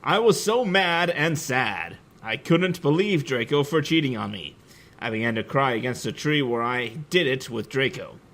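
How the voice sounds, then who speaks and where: A man's voice: mid-range voice, lively intonation, moderate pace, a bit bright. A young man giving a comedic reading of fan fiction, breaking in with sarcastic, giggly asides. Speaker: reader.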